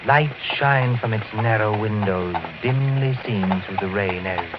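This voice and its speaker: A man's voice: on the low side, measured pace, heavy background noise, close to an everyday voice. A man giving atmospheric, literary narration for a radio horror drama in a solemn storytelling manner. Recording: muffled old radio, music behind.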